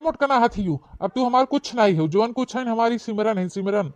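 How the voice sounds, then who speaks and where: Casting: male actor as callous Awadhi-speaking village husband, regional cartoon comedy, taunting, high-set voice, very dry sound. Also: animated, a little fast, no echo. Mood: scornful. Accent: eastern Uttar Pradesh, Awadhi. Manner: taunting, dismissive, blunt. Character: callous, boastful village husband infatuated with another woman. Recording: dull, dry lo-fi voice track.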